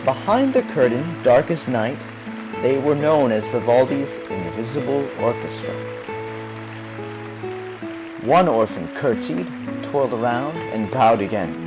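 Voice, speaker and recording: A man narrates a children's storybook with lively, swooping intonation and a dramatic touch. His mid-pitched voice moves expressively through each phrase, with music playing clearly behind him. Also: moderate pace. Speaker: storyteller reading a children's book aloud.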